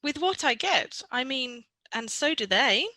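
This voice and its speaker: Woman as bright, cheeky young boy. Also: high-pitched, animated, measured pace, no echo, full character voice. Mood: cheeky, defiant. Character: cheeky, quick-witted young son. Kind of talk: amateur Shakespearean radio play.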